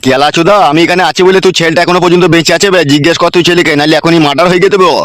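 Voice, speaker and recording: An actor playing an angry, blunt village boss in a cartoon comedy, threatening people in a rural West Bengal accent. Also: male voice, fairly high pitch, steady tone, racing, a bit bright, no room sound, natural voice. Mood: furious, threatening. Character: angry, foul-mouthed male village boss bullying a family.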